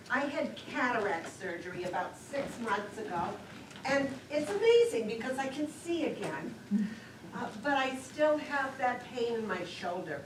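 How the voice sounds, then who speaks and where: A woman acting a chatty, nagging, self-absorbed older sister in an amateur stage play. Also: fairly high pitch. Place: lakeside community theater stage, picked up from afar. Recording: distant echoey stage recording, clear noise.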